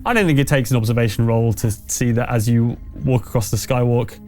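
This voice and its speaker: A man acting as game master, narrating a tabletop roleplaying game in a casual, wry and slightly halting way. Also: mid-range voice, warm tone. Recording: music playing behind the voice. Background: background music.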